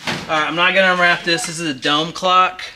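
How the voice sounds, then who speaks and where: A man in his thirties hosting a casual storage-unit vlog, chatty and excited, talking straight to viewers as he digs through boxes in a storage hallway. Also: on the high side, a little fast, noisy background. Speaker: storage unit treasure hunter host. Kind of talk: casual vlog unboxing chat. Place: indoor self-storage hallway.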